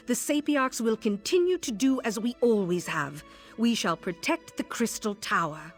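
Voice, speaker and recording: A woman playing a proud, distrustful high elf scholar in a video game, haughty and formal. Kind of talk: scripted video game dialogue.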